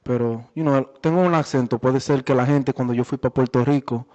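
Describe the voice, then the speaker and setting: A man's voice: mid-range voice, steady tone, normal speed, darkish tone. A man with a Dominican accent in Spanish, answering interview questions conversationally as a boxer and guest. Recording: echoey, muffled room recording.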